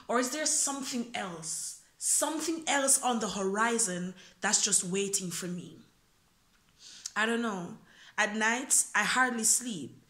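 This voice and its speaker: A young woman from Jamaica delivers a freestyle poem straight to her phone camera. Her voice sits a little high and carries a searching, earnest tone as she asks question after question. The small room around her adds a noticeable echo. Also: sharp tone. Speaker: spoken word poet. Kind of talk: freestyle spoken word poetry. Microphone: phone camera close up.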